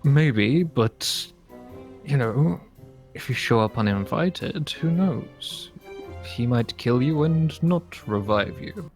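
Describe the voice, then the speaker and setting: A man playing a dry, skeptical demigod camper in improvised Minecraft roleplay, speaking in a hesitant, deadpan way. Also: medium pitch, slow, soft background music.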